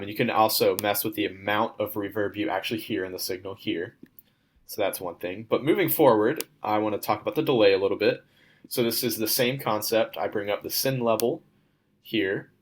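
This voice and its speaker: A young man, a student, walking through a demo of his software project in an informal, step-by-step way. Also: lowish voice, a little hiss or hum. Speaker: student presenting a class project.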